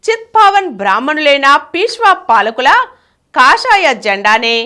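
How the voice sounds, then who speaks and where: A woman's voice: high voice, big pitch swings, moderate pace, sharp tone. A woman presenting a scripted article in a formal, emphatic, phrase-by-phrase read. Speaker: channel presenter reading an article.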